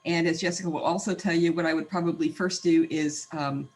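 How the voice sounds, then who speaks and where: Hesitant man with mid-to-high voice. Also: steady tone, brisk, a little mellow. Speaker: meeting participant, diversity director. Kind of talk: informal self-introduction.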